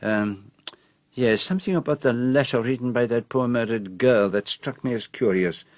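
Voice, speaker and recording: An actor playing a fussy, shrewd older Belgian detective with a French-speaking Belgian accent, precise and theatrical in a radio drama. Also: male voice, medium pitch, normal speed, no echo, close to an everyday voice. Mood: musing, intrigued.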